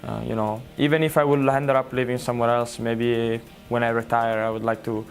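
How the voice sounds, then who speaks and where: Man, even flat tone, reflective, repeats himself. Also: mid-range voice, fairly quick, faint music behind. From Italy. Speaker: interviewee. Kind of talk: casual interview answers.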